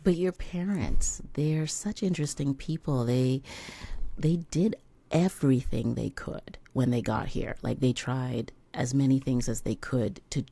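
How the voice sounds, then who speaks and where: A woman's voice: very deep voice, measured pace, gravelly, dark tone. A woman hosting an interview show, speaking in an effusive, encouraging way with dramatic emphasis. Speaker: podcast host and coach.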